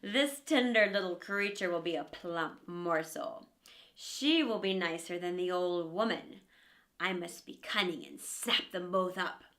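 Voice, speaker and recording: A woman's voice: on the low side, a little slow, fairly bright tone, voice pushed down. A woman voicing a sly, greedy, coaxing male wolf in a read-aloud fairy tale. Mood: scheming. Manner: cunning, coaxing.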